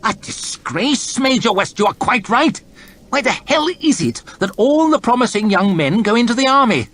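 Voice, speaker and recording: A haughty lord chamberlain, put on by a male narrator, barks his scorn at everyone in the room. The voice sits high and strained, and it leaps wildly between words. This is storybook reading with full character voices. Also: expressive, normal speed, a bit bright. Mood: blustering. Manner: arrogant, bellowing and contemptuous. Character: pompous, irritable middle-aged male lord chamberlain.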